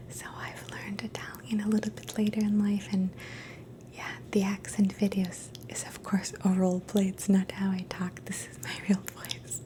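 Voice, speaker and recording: A woman in a soft, gentle, mostly unvoiced whisper, slowly teaching phrases in a relaxing language lesson and repeating each one. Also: mid-range voice, rough recording. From Canada. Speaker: ASMR host teaching phrases.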